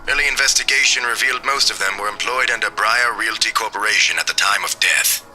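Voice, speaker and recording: A man's voice: fairly low pitch, quick pace, pushed and bright, soft background music, rough recording. A man reading a visual novel aloud, voicing a formal television news anchor delivering a report.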